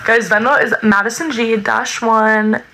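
A woman's voice: fairly high pitch, steady tone, unhurried, sharp tone, a little hiss or hum, low-quality recording. A young woman hosting a casual phone livestream from a small bedroom, reading comments aloud in a bubbly, joking way. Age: young adult. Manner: bubbly, reads chat aloud, jokey sing-song repeats. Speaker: livestream host. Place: small bedroom with string lights. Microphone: phone held at arm's length.

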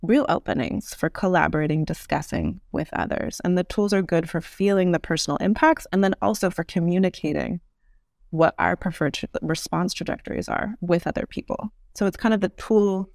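Podcast guest: woman, thirties, noticeable vocal fry, articulate interview explanations, close dry sound. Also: on the low side, a little mellow, no room sound. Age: 30s.

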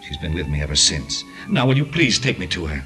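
Deep-voiced anxious gentleman. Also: sing-song, a little fast, music in the background. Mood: solemn, explaining. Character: anxious, well-mannered gentleman, fretful guardian. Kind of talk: 1940s radio drama acting.

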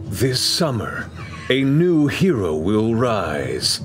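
A man playing a dramatic eighties action-movie trailer announcer, portentous and drawn-out. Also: lowish voice, wildly swooping pitch, slight background noise, heavily acted. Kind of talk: mock trailer narration.

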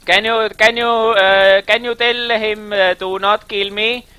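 A man with an Estonian accent improvising a campy, pleading singer character in halting broken English during a game stream. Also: high voice, little pitch movement, sharp tone, clean tone, no echo. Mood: pleading, anxious. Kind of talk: improvised role-play while streaming a game.

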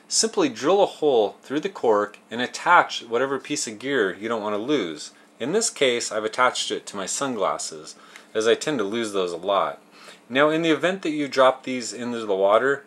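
A man's voice: medium pitch, a bit bright. A man in his thirties narrating a how-to as show host, explaining step by step in plain, folksy words. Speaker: host of a fishing tips show.

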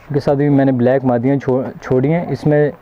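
A man's voice: mid-range voice, level tone, brisk. A young man from Pakistan, a poultry breeder, explaining his birds in a casual, matter-of-fact farm tour with pride in his stock. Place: outdoor poultry farm pens. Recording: muffled, noisy with clucking chickens.

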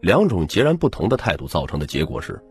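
A man with a husky voice narrating a novel in dramatic first-person storytelling as an audiobook reader. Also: sits low, huge pitch swings, fast talker, darkish tone. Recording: music bed under the narration. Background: background music.

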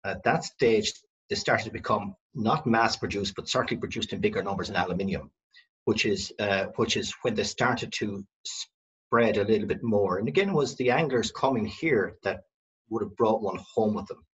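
A man's voice: lowish voice, normal speed, a bit bright, slightly rough, muffled recording. A middle-aged Irish man from the west of Ireland, a company director telling his family history in a rambling, self-correcting way on a video call from home. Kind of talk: casual video-call interview. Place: home room.

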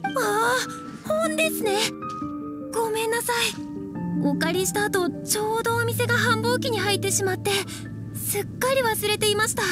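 A woman's voice: very high-pitched, moderate pace, over loud music. A woman voicing a polite, earnest young shopkeeper in a fantasy video game, with courteous customer-service speech. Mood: apologetic. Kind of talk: Japanese video game voice acting.

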